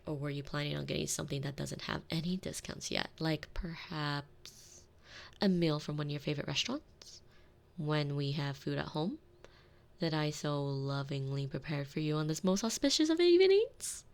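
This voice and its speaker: Casting: actress as retired supervillain housewife for ASMR audio drama; low register, very lively intonation, wry and teasing. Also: female voice, moderate pace, gravelly. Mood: mock-sweet, probing. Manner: wry, teasing, theatrical phrasing. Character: sardonic, thrifty retired villainess turned doting housewife. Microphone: close binaural microphone.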